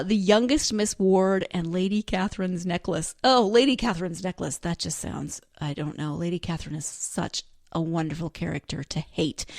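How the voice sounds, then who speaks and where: Woman, slightly low voice, podcast host, enthusiastic, rambling, chatty, close dry sound. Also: creaky, no echo. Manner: enthusiastic, giggly, rambling asides. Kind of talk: chatty solo book review talk.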